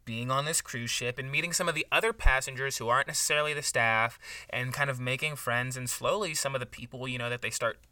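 A man's voice: medium pitch, quick pace, hardly any pauses, sharp tone, dry recording, recorded from a distance. A man playing an earnest amateur book podcaster in an improvised comedy, giving rambling, casual recaps. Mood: casual recapping. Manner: rambling, hedging plot recap full of "you know". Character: earnest male amateur book podcaster, eager writer friend. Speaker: co-host of a book review podcast.

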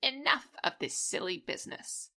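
A woman playing a brash, gleeful young alien troll girl with a creaky voice in a fan fiction audio drama. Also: fairly high pitch, animated, moderate pace, bright, forceful tone, exaggerated voice. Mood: gleeful challenge.

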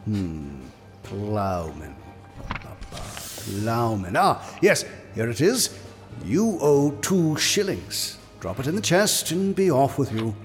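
An actor playing a haughty, sarcastic reeve who drawls at peasants in a medieval audio drama. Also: male voice, mid-range voice, big pitch swings, takes it slowly. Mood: sneering, mocking. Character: sneering, impatient reeve collecting taxes from peasants. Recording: long hall reverb, music underneath.

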